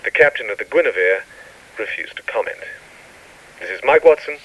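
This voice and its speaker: Earnest radio newsman in a radio play, deep voice, sounds far off and noisy. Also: lively intonation, fairly quick, bright, forceful tone. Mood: measured reporting. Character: earnest male radio news reporter, newly married. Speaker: radio news reporter. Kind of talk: radio drama acting.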